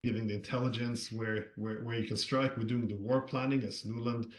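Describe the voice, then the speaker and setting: A man's voice: medium pitch, little pitch movement, normal speed, reverberant. A man giving spontaneous political analysis as an interview guest, hesitant and repeating words.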